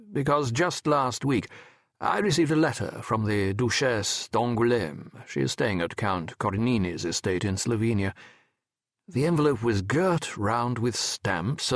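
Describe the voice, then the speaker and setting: Learned male professor, wry and wistful, measured literary narration, mid-range pitch, dry studio-like sound. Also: dry recording. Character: wry, reflective male French medical professor narrating his memoir. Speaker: audiobook narrator. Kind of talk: scripted first-person literary narration.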